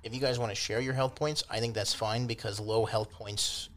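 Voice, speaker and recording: A man's voice: fairly low pitch, fairly even intonation, moderate pace, slight background noise. A man acting as game master, casually improvising narration and explaining rulings in an easygoing, conversational way. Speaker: game master of a tabletop role-playing podcast.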